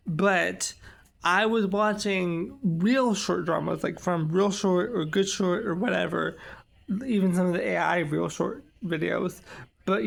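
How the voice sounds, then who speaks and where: A young man in his twenties talks to the camera in a loose, rambling vlog style, with many fillers and restarts. His voice is strongly gravelly, with heavy fry, and sits a little high for a man. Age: 20s. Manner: rambling, lots of "like" and "um". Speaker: vlogger. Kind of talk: casual vlog chat.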